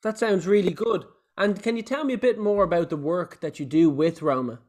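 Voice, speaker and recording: A man's voice: high-pitched, fairly quick, a little mellow. A man hosting a podcast, asking open follow-up questions in an encouraging way. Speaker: podcast host. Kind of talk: interview questions.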